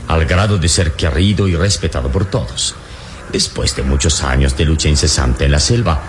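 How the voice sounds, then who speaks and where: Very deep-voiced, solemn adventurer hero. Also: male voice. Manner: grandiloquent, measured, courteous. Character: wise, bold adventurer hero, gallant and solemn. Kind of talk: old radio serial acting. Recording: noisy old radio serial, vehicle drone.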